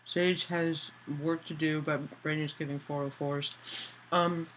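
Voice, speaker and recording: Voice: medium pitch, little pitch movement, a little slow, a bit bright, a little hiss or hum, low-quality recording. An author livestreaming to patrons, chatting loosely and answering chat messages aloud, with many fillers and restarts.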